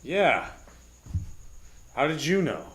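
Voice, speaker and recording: Casting: male actor as cagey moneyed gentleman for radio drama, slightly high pitch, animated swings, guarded clipped manner. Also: takes it slowly, heavily acted. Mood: reserved. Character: wealthy married businessman, guarded, wary of scandal. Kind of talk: live 1940s-style radio drama acting.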